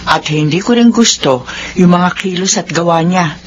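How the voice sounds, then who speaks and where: A woman's voice: fairly low pitch, normal speed, dull audio, natural voice. An actress playing a caring, worried older mother with a cautioning manner in a Filipino radio drama. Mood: concerned.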